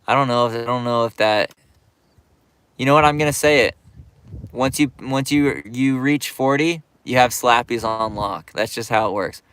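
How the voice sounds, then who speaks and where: Chill skate youtuber guy reading chat out loud on stream, lots of um and like, dry close mic. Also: medium pitch, moderate pace, clean tone, no room sound. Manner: laid-back, reads viewer comments aloud, lots of fillers. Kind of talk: casual livestream chat.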